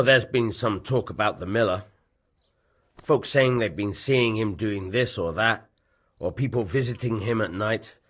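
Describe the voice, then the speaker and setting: An actor playing a gruff, dismissive medieval town bailiff in a video game, husky and matter-of-fact. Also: male voice, fairly low pitch, a bit bright. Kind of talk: video game dialogue acting.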